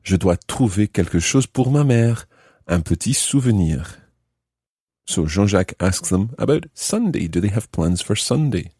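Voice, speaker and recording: A man's voice: fairly low pitch, expressive, measured pace, dark tone, husky, no echo, studio quality. A man teaching a language lesson, explaining and translating phrase by phrase with careful enunciation. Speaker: language teacher, podcast host.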